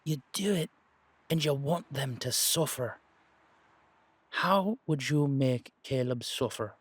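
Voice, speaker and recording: A man acting a shrewd, streetwise investigator in an audio drama, speaking deliberately and persuasively in halting, emphatic phrases. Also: mid-range voice, animated, breathy edge. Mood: intent, explaining. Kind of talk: dramatic audio roleplay dialogue.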